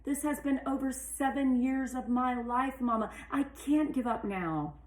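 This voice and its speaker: A woman's voice: sits high, unhurried, voice pushed up. A woman reading as a busy, devoted engineer pleading with her mother in a storybook, earnest and strained, in a roomy recording. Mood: insistent, weary. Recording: roomy, echoey sound.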